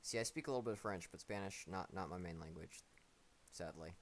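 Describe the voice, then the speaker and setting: Deep-voiced laid-back man hosting. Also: brisk, a little hiss or hum. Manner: laid-back, slangy, joking. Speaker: tournament host. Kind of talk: casual live-stream hosting.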